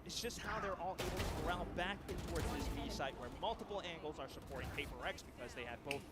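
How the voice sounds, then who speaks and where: High-pitched male esports commentator over noise. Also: fast. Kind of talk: live play-by-play and analysis commentary.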